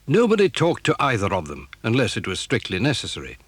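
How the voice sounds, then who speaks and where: A man's voice: medium pitch, wildly swooping pitch, fast talker, slightly rough, dry recording. A man narrating a scripted children's story.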